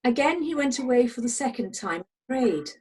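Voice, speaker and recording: A woman's voice: sits high, lively intonation, moderate pace, a little mellow, husky. A woman narrating a scripted dramatic scripture reading over a video call.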